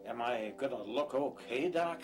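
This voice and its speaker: A man's voice: medium pitch, normal speed, close to an everyday voice. A man playing a nervous, hesitant fugitive patient in an audio drama, plain-spoken and uneasy. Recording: music behind the voice.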